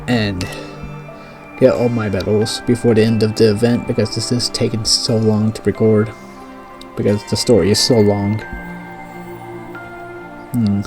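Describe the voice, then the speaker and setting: A man playing a game and casually reading its story aloud, with slips and restarts. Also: fairly low pitch. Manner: relaxed, reads narration with slips and restarts. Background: game music.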